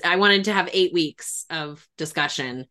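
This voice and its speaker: Effusive woman podcast host, slightly low voice. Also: unhurried, bright, forceful tone. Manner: effusive, emphatic. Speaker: podcast host and grief expert. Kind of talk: casual conversational interview.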